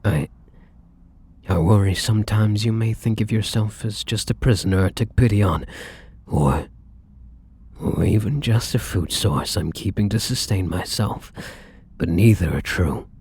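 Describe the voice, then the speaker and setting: A weary, devoted vampire sweetheart, acted by a man for an intimate ASMR roleplay. The voice is low and very breathy, almost husky, and moves very slowly. He speaks with gentle affection, hesitating and lingering over his words. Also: full character voice. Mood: adoring, tender. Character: tender, devoted male vampire lover, weary from battle.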